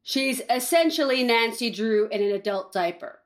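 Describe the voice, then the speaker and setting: A woman's voice: a little high, animated, close to an everyday voice. A woman playing a callous, cynical reality-show producer with a sardonic manner in a campy comedic audio drama. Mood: dismissive, threatening. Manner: sardonic, brisk, shady.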